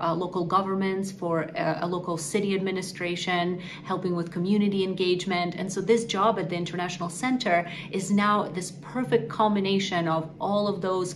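A woman's voice: on the low side, noisy background. A woman, speaking earnestly and articulately to camera in a prepared interview. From Ukraine. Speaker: nonprofit executive. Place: indoor office against a wall.

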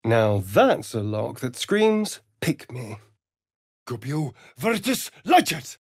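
A man's voice: medium pitch, big pitch swings, takes it slowly, a little mellow, husky, no echo, clean studio sound, exaggerated voice. A man with an English accent playing a vain, sardonic aristocratic vampire rogue in a video game, theatrical and arch. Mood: wry, amused, then incanting.

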